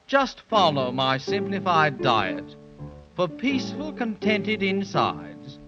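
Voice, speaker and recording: An actor playing a jolly, eccentric, boastful ostrich with a Cockney accent in a 1930s children's radio show. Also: male voice, sits high, highs cut off, exaggerated voice. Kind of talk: 1930s children's radio acting, part sung. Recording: vintage 1930s radio recording.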